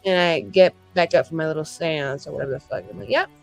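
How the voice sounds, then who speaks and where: A woman playing a tabletop roleplaying game, casually narrating what her character does in slangy, sweary, halting phrases. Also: deep voice, gravelly, soft background music.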